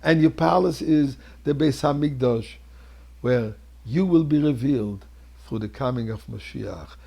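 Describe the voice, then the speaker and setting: A man, a rabbi, telling a story in a dramatic sermon, with a husky, gravelly voice and emphatic delivery that moves between hushed and declamatory. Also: mid-range voice, measured pace. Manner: theatrical, emphatic delivery, swinging between hushed near-whispers and loud declamation.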